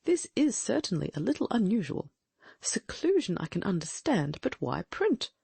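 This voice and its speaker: A woman's voice: medium pitch, big pitch swings, gravelly, darkish tone, husky, no room sound, full character voice. A woman reading the part of a shrewd, inquisitive middle-aged male detective, asking probing questions as she muses aloud in an audiobook. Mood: musing. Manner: probing, musing, quick questions.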